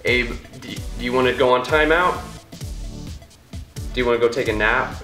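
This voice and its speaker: A man's voice: medium pitch, moderate pace, bright, forceful tone. A man from Iowa with an American accent, chatting casually as a vlog host in a playful, teasing manner. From Iowa, United States. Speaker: vlogger and host. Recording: roomy echo, music behind.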